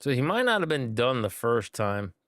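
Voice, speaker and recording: A man's voice: medium pitch, big pitch swings, normal speed, a bit bright, no room sound, clean studio sound. A man giving laid-back, rambling commentary while livestreaming a game, with many fillers. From United States. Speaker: gaming streamer. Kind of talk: casual gameplay commentary.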